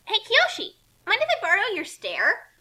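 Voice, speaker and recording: Lady doing a plucky girl hero, really high and bright, swoopy, exasperated, over-dramatic comic storybook voice. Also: moderate pace, full character voice. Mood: cheeky. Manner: loud, excitable, melodramatic. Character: plucky, exasperated female adventure heroine. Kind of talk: comic fan fiction read aloud.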